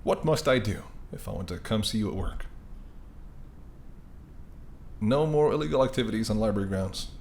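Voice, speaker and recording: Man playing a low-voiced, flirtatious mafia boss. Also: animated, quick pace, darkish tone, slight background noise. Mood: amused, intrigued. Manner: smooth-talking, playful, flirtatious, addresses listener as doll. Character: charming, teasing, apologetic mafia boss smitten with a librarian. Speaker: voice actor in roleplay audio. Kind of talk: intimate second-person roleplay audio acting.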